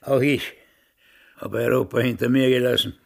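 An actor playing a vain, stubborn old man in a German radio drama, self-important and defensive, with a gravelly, husky voice. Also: medium pitch, fairly even intonation, moderate pace. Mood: musing. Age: older.

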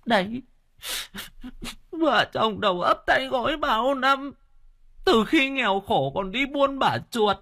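A wailing old wife. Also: male voice, animated, slow pace, creaky, long pauses, bright, forceful tone, full character voice. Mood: tearful. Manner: high wailing complaint. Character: old wife, weeping and shrill.

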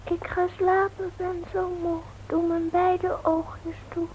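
A woman's voice: very high-pitched, level tone, slow pace, a little mellow, a little hiss or hum, poor audio. A woman playing a sleepy small girl at bedtime in a radio drama. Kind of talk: Dutch radio play acting.

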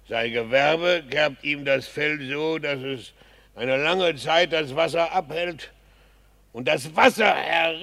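A man's voice: fairly high pitch, a bit bright, full character voice. A man in a radio drama playing a cheeky, earthy peasant gravedigger who drawls colloquially and quibbles. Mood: earthy, explanatory. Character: cheeky male gravedigger, earthy peasant clown, singing at work. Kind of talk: classical radio drama acting.

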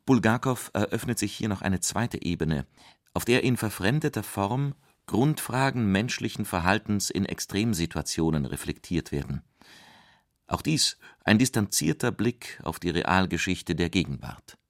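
Low-voiced male expert commentator. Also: animated, moderate pace, no echo, clean studio sound. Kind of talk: analytical commentary.

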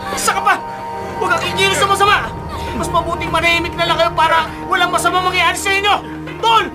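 An actor playing a loyal young accomplice, blunt and streetwise, in a Filipino radio drama. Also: male voice, very high-pitched, fairly even intonation, quick pace. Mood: menacing. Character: loyal young accomplice, nervous then threatening robber. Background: dramatic music.

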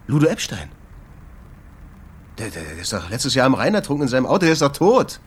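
A man's voice: mid-range voice, huge pitch swings, a little fast, breathy edge, full character voice. An actor playing a sardonic, insistent man who runs a jazz club and asks terse, probing questions in a German radio drama. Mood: disbelieving, pressing. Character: insistent male jazz club owner, sardonic amateur investigator.

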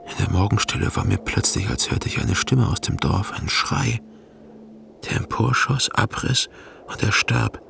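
An actor in a German radio play playing an older man mourning his friend, anguished, halting and full of remorse. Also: lowish voice, big pitch swings, vocal fry, a bit bright, a little hiss or hum, heavily acted. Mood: uneasy, hushed. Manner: anguished, halting, breaking into whispers and wailing.

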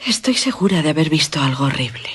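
Mysterious young noblewoman vampire: breathy, clouded voice, hesitant, gothic radio play. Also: sits low, very animated, fast, heavily acted. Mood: fearful, secretive. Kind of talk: gothic radio drama dialogue.

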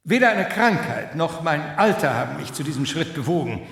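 An actor playing a solemn older male professor in a radio play, speaking formally, like an orator, about guilt and dark powers. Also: fairly high pitch, big pitch swings, brisk, creaky, full character voice. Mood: solemn, confessing. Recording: echoey hall, distant, faint noise.

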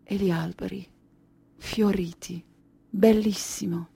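Woman acting a haunted refugee woman, theatrical monologue, slow pace, weary, emotive, short broken phrases, mid-pitched voice. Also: steady tone, vocal fry, dark tone, breathy edge, heavily acted. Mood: weary remembering. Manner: deliberate, emotive, pausing between short phrases. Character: Kurdish refugee woman, weary, haunted, recounting her flight.

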